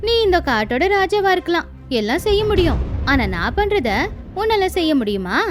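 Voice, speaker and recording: Woman voicing a high-pitched, cunning mischievous goat. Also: big pitch swings, normal speed. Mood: taunting. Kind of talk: animated animal fable dialogue. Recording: music behind the voice.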